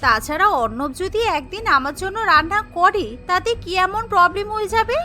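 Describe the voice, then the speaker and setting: A woman's voice: very high voice, animated, fairly quick, pushed and bright, full character voice. A woman voicing a polite but resentful young newlywed wife in a cartoon, earnest and complaining. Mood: pleading. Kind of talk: animated family story dialogue.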